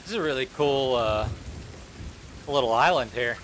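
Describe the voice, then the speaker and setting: A man's voice: medium pitch, moderate pace, a bit bright, a little hiss or hum. A young man chatting casually as he streams a video game. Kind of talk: casual gameplay chat. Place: small room at home, indoors. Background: game storm and sea sounds.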